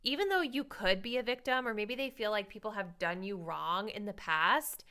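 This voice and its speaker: A woman's voice: mid-range voice, normal speed, bright, forceful tone, smooth. Chatty young woman reading tarot. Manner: chatty, many "like"s, thinks aloud. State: slightly raspy voice. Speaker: tarot reader. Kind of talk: casual tarot card reading to viewers.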